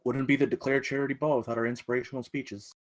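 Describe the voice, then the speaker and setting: Quick-talking mild young man, acted by a man. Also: mid-range voice, little pitch movement, no echo, lightly acted. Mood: earnest, mild. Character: earnest, mild young hard-of-hearing younger brother. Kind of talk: script table read.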